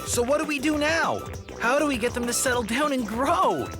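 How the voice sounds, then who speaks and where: A woman voicing an excitable, playful young animal in a children's cartoon, eager and exclamatory. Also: high-pitched, normal speed, music in the background. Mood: worried.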